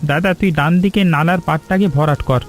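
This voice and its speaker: An eager young farm lad in a cartoon, played by a man. His voice is high for a male, willing and helpful, with music behind it. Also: brisk, close to an everyday voice. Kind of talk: scripted cartoon dialogue.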